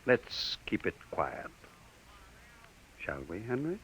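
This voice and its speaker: A man's voice: lowish voice, wildly swooping pitch, slow, a bit bright, full character voice. An actor playing a skeptical, commanding middle-aged male bureau chief who gives orders to his assistant, in 1950s radio drama style. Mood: grave. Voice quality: brusque, commanding. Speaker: boss. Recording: old radio broadcast with faint hiss.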